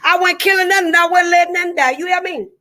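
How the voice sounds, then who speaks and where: A woman's voice: extremely high pitch, steady tone, fast, bright, forceful tone. A woman speaking candidly and emphatically, with a preachy, repetitive cadence. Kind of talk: candid testimony-style chat.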